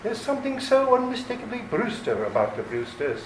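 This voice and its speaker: A man playing a sinister long-lost criminal nephew, grandiose and deliberate, in old-time radio theater style. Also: high-pitched, huge pitch swings. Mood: sinister nostalgia. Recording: echoey, faintly noisy stage recording.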